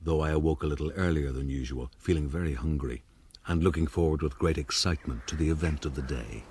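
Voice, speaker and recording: An actor playing an older gentleman who looks back wryly on his youth in florid, formal radio drama narration. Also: very deep voice, fast talker, slight background noise. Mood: wry reminiscing. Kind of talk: first-person period radio drama narration.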